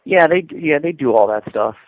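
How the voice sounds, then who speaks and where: A man's voice: a little high, expressive, brisk, no room sound. An American man in his fifties speaks over a thin, narrow telephone line. He tells his experiences in a conversational, explanatory way, often circling back to repeat a point. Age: 50s. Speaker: incarcerated interviewee calling from prison. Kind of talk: casual phone interview, recounting experiences. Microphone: prison telephone.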